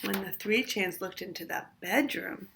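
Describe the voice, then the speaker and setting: A woman reading a picture book aloud for children, pausing to ask listeners questions about the story. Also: mid-range voice, vocal fry, very noisy. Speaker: storytime reader. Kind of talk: read-aloud storytime narration.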